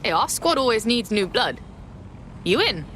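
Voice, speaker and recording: A fairly high female London voice for a streetwise hacker in a game. She is assured and casual, full of slangy ease. Faint traffic ambience runs behind her. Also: very animated, normal speed, fairly bright tone. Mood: reassuring, upbeat. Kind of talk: conversational video game dialogue.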